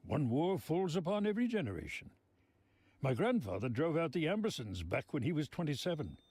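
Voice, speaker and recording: An actor playing a genteel, sly old mob boss in a video game, speaking in florid, deliberate phrases. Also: male voice, fairly high pitch, very animated, darkish tone, husky, dry recording. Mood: grandly knowing. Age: older.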